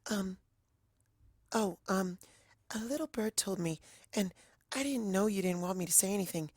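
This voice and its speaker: A shy, sweet young cartoon pegasus girl, put on by a woman reading a story. The voice is raised and very quiet, hesitant and apologetic. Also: mid-range voice, normal speed, no echo, studio quality, voice pushed up, natural voice. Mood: timid, apologetic. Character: timid, soft-spoken, sweet young female cartoon pegasus.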